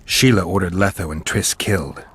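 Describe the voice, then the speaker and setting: An actor playing a gruff, stoic monster hunter in a video game, with a gravelly low voice and a terse, deadpan delivery. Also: male voice, moderate pace, exaggerated voice. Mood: firm, terse.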